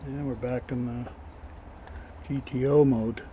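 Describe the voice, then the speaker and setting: A man giving a casual show-and-tell as a toy collector, low-key and matter-of-fact, on an amateur camera microphone. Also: fairly even intonation, measured pace, mellow, slight background noise. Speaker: toy collector showing his finds.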